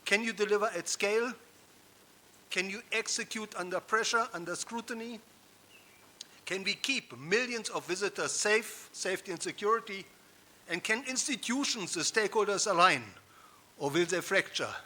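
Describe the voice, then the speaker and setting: German-accented male keynote speaker, fifties, high bright voice, emphatic stage delivery. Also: normal speed. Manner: rhetorical, emphatic, with audience asides. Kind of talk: conference keynote speech. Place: conference hall stage.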